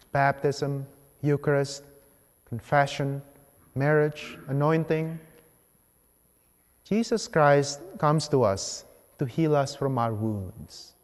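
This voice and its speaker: Man preaching in a very reverberant church. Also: medium pitch. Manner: deliberate, short phrases with pauses. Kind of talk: homily, measured public preaching. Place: large church sanctuary, at the ambo.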